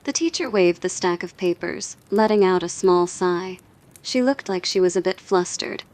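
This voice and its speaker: A woman narrates a scripted story. Her voice sits a bit low for a woman. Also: moderate pace, a little mellow.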